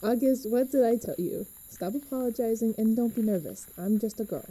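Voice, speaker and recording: A woman playing an easygoing, reassuring teenage girl who talks gently and casually in a podcast drama. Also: a little high, a little fast, breathy edge, poor audio. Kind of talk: fiction podcast acting.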